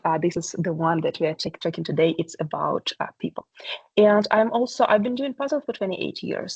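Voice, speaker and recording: A woman talks through a presentation on a video call. Her voice sits on the low side and sounds dry, with little room around it. She is earnest and hesitant, and she fills her pauses with "uh" and "like.". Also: darkish tone, no room sound, poor audio. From Ukraine. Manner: hesitant, many fillers, earnest. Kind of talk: online meeting presentation.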